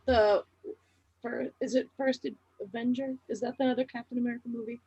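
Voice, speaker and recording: A woman's voice: on the high side, fairly even intonation, a little fast, mellow, husky, no room sound, highs cut off. A woman playing a trivia game, chatty and unsure of her answers. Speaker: game show contestant. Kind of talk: casual chat.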